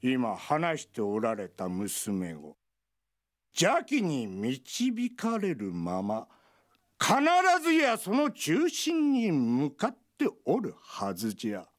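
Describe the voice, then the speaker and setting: An actor playing an eccentric old feng shui master who speaks in an old-fashioned, pompous way in a radio drama. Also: male voice, slow. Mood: lecturing. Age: older. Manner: old-fashioned pompous speech, lively swooping pitch. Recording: studio radio drama with faint music.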